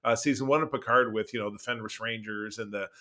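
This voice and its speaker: The guy at the comic shop counter, bright forceful voice, rambling happily through his latest review. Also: lowish voice, fairly even intonation, fast. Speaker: podcast co-host reviewing pop culture. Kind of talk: casual chat.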